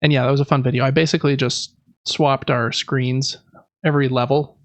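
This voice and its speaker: A man's voice: medium pitch, flat delivery, a little fast, no room sound. A man co-hosting a gaming podcast, chatting casually in a rambling, enthusiastic, informal way. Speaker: podcast co-host.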